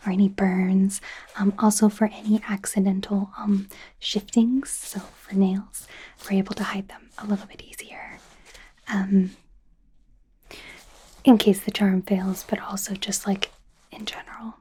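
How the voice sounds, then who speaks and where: Woman playing a whispering, awkward werewolf doctor. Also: mid-range voice. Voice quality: soft breathy whisper. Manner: hesitant, rambling, gently awkward. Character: young female werewolf doctor, eager, awkward, friendly examiner. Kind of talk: ASMR medical exam roleplay.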